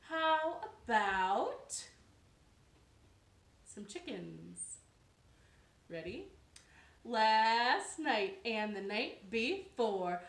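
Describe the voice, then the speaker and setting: A young woman tells stories to small children in a very animated, fairly high voice. She is recorded a little way from the camera in an echoey living room. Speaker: children's librarian storyteller. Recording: roomy living-room sound.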